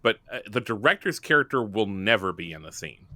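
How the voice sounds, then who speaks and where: Bright, lively man running tabletop game. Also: lowish voice, fairly quick, dry recording. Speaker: tabletop game player explaining rules. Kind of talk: casual improvised tabletop roleplay chat.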